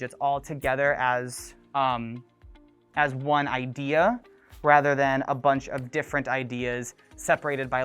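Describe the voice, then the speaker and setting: Grade one teacher, man, smooth tone, hesitant and casual, light music bed. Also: on the high side. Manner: relaxed, with frequent ums and uhs. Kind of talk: documentary interview.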